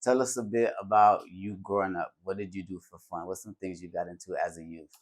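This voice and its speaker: A man's voice: deep voice, fairly even intonation, fairly quick. A man hosting a casual interview show, speaking in slang and repeating phrases for emphasis. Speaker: talk show host. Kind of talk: casual interview with hype-style show intros.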